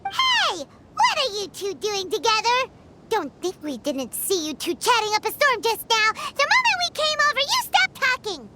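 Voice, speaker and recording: A woman voicing a tiny, childlike, excitable fairy girl companion in a video game. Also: normal speed, very noisy, heavily acted. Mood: suspicious, huffy. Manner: squeaky, exclamatory, talks in third person. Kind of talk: scripted video game dialogue. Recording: loud music under the voice.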